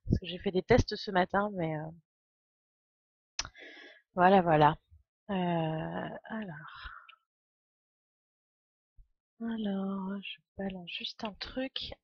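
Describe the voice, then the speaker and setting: A woman's voice: on the low side, takes it slowly, pauses often, husky, no echo, lo-fi sound. A woman in her twenties with a stuffy cold, chatting casually and a little hesitantly as she hosts a live game stream. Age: 20s. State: congested with a cold.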